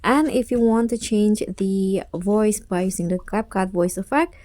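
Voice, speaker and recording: Female tutorial narrator, casual and instructional, with a dark mellow voice and very dry sound. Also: medium pitch, a little slow, no room sound. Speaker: tutorial host.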